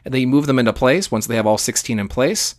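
A man's voice: medium pitch, fast talker, no room sound, clean studio sound. A man co-hosting a podcast, explaining history in an enthusiastic, conversational and emphatic way. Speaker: podcast co-host.

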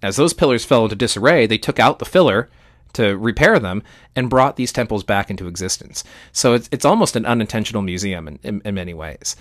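Man, lowish pitch, podcast co-host, enthusiastic explainer, emphatic phrasing, very dry recording. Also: animated, fairly quick, no echo. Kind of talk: enthusiastic history explainer, conversational.

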